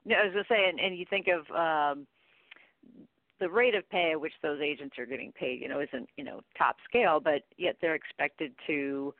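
A woman's voice: deep voice, moderate pace, dry recording. A woman speaking as an expert guest in a conversational interview, talking informally and emphatically. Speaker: guest expert on contact center training. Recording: narrow tinny phone-like line.

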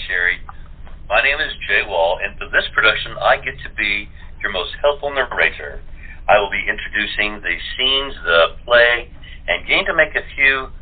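A man chatting casually as an interview guest, over a muffled telephone-quality connection. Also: lowish voice. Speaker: voice actor guest playing a narrator.

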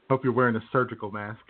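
A man's voice: medium pitch, slightly rough. Wry male co-host on a phone line. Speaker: radio show co-host. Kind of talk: casual joking banter.

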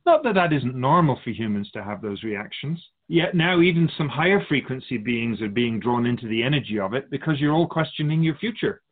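Man playing calm otherworldly spirit guide, phone-like sound. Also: mid-range voice, lightly acted. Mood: gently reassuring. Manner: measured, philosophical, gently teasing. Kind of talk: radio drama dialogue. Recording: muffled phone-like narrow band.

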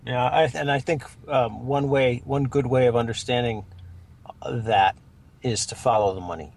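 A man taking part in a talk discussion, speaking conversationally and haltingly in a gravelly, husky voice. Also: mid-range voice, steady tone. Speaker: talk show participant.

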